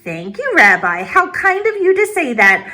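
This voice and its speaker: A woman's voice: high voice, big pitch swings, normal speed, sharp tone, voice pushed up, full character voice. A woman voicing a kindly, fussing old grandmother in a storybook read-aloud, chatty and motherly. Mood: doting.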